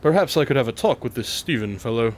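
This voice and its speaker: A man's voice: mid-range voice, lively intonation, fast talker, darkish tone, close to an everyday voice. A man playing a composed, inquisitive detective in an audio drama, questioning in a dry, slightly formal, courteous way. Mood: musing, probing.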